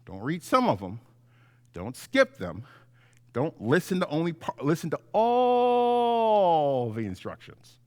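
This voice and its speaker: Male children's pastor, higher-pitched voice, theatrical story-time delivery with stretched emphasis, long hall reverb. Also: wildly swooping pitch. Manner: theatrical, drawn-out emphasis on key words. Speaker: pastor telling stories to children. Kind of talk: animated children's story time, sermon-like lesson.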